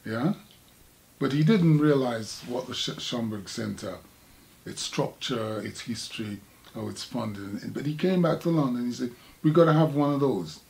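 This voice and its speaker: An older man from Jamaica with a Jamaican accent, an artist recalling his past in a halting, reflective conversation. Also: medium pitch, expressive, gravelly, darkish tone, poor audio. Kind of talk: casual recollection in conversation.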